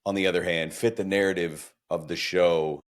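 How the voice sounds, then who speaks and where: A man's voice: low voice, steady tone, moderate pace. A man hosting a podcast interview, chatty and enthusiastic, asking long winding questions. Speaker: podcast host.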